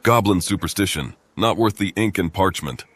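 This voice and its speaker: An actor playing a gruff, stoic male adventurer in a fantasy game, curt and matter-of-fact. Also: deep voice, moderate pace, fairly bright tone.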